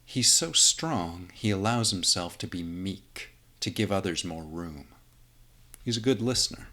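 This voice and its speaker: Guy narrating his fan script, low voice, chatty and informal. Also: animated, measured pace, pushed and bright. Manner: informal, conversational. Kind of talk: scripted narration with casual asides.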